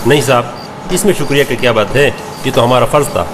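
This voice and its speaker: A man's voice: mid-range voice, fairly quick, lightly acted. A man playing a humble, pious, helpful truck driver in an Urdu cartoon, plain-spoken with folksy broken grammar. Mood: kindly, humble. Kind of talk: dramatized Urdu cartoon voice acting. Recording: clearly noisy track.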